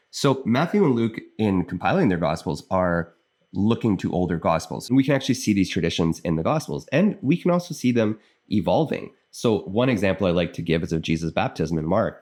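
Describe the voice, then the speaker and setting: A man's voice: low voice, a little mellow. A Canadian man in his thirties, a biblical scholar, talking earnestly to camera and thinking aloud as he explains. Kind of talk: casual explanatory commentary to camera.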